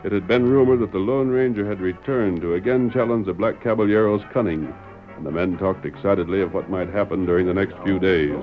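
A man narrates a vintage radio western. His voice is deep, telling the story formally over prominent music, with muffled old broadcast sound. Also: a little fast.

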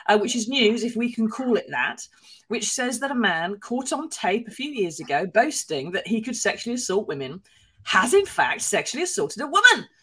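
A woman's voice: fairly high pitch, very animated, moderate pace, sharp tone, breathy edge. A woman co-hosting a news podcast, telling stories in a chatty, wry way. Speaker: podcast co-host, newspaper columnist. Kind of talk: casual news chat.

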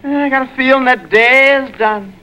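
Needling, skeptical sidekick at a tavern, 1940s radio comedy. Sharp high voice, lo-fi sound. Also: male voice, normal speed, clear, resonant tone. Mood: wry, skeptical. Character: wry, skeptical male tavern helper. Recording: dull, noisy old radio recording.

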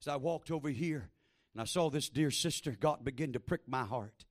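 A man preaching a fervent sermon in a small church, repeating phrases and building to shouted exhortation over a handheld microphone. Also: medium pitch, normal speed. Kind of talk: Pentecostal-style sermon.

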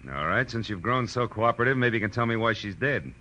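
An American actor playing a cocky, hard-boiled private detective in 1940s radio noir, with a wry, clipped and sardonic delivery. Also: male voice, mid-range voice, animated, fast talker, bright, forceful tone. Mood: sardonic, pressing. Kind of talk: 1940s radio noir acting, first-person narration and dialogue. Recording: dull vintage radio recording with music.

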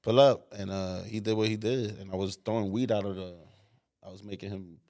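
A man's voice: lowish voice, steady tone, a little fast, dark tone. A man guesting on a podcast, talking in a laid-back way with loose slang. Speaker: comedian guest. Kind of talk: casual interview chat.

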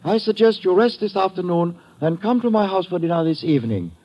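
A man's voice: sits high, animated, fairly quick, a little mellow. A man playing a courteous, formal doctor in an old radio drama, speaking with polite, precise phrasing. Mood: courteous, grave. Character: courteous, formal male doctor, guerrillas' opponent in the Orient. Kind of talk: 1950s radio drama acting. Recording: dull, lo-fi old radio broadcast.

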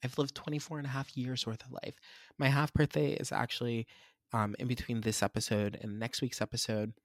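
A man's voice: medium pitch, little pitch movement, a little fast, dry recording, clean studio sound. A man, hosting a solo podcast and reflecting in a candid, rambling, self-searching way. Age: 20s. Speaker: podcast host.

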